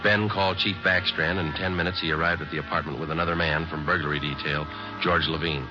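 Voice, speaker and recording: A man playing a terse, deadpan police sergeant who also narrates, in a 1950s radio drama. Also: rumbling low, fast, music in the background. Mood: narrating. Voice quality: deadpan, clipped. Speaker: detective narrator. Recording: muffled old radio broadcast.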